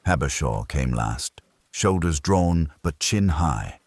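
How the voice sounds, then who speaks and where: Very deep husky male narrator. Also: lively intonation, slow, darkish tone, dry recording. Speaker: audiobook narrator. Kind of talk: scripted first-person detective story narration.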